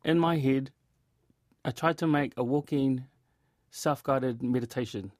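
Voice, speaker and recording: A man's voice: mid-range voice, measured pace, darkish tone, dry recording. Casual New Zealand man, dry studio sound. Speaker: theatre director and actor being interviewed. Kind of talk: casual interview chat.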